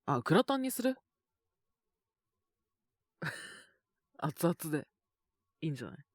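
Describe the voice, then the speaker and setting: A man with a sore, scratchy throat and a cough, chatting casually and intimately in soft, hesitant murmurs as if to someone beside him. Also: a little high, big pitch swings, takes it slowly, dry recording, clean studio sound. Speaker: voice actor making situation-voice videos. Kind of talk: intimate casual chat to an unheard partner.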